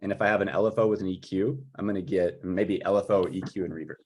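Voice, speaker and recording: Husky low-voiced man in casual chat. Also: steady tone, fast, a little mellow. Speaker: music composer for audio fiction. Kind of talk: informal work chat.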